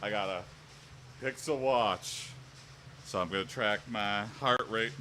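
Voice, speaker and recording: Streamer man, mid pitch, bright and forceful tone; rambling casual chat at home, mic set back, faint hiss. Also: a little slow. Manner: chatty, rambling, answers viewers. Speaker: livestream host. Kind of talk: casual livestream chat while exercising. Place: home room with an exercise bike. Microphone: standing mic a little way off.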